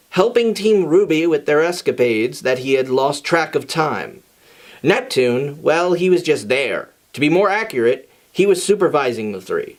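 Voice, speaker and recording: A man with a voice a little higher than average reads a story aloud as host. His delivery is informal and conversational, like someone sharing fan fiction with viewers. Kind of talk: informal scripted story narration.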